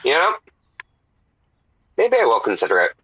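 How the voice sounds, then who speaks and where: An actor playing an earnest, oblivious radio caller with halting, guileless rambling, filtered like a phone call in an audio comedy. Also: male voice, medium pitch, huge pitch swings, vocal fry, bright, forceful tone, husky, exaggerated voice. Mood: hopeful, oblivious. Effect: phone-line filter. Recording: dull band-limited phone call.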